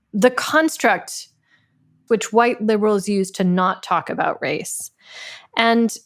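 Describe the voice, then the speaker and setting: A woman interviewing in a thoughtful conversation, with searching, halting phrasing as she works toward her questions. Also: mid-range voice. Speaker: playwright and interviewer.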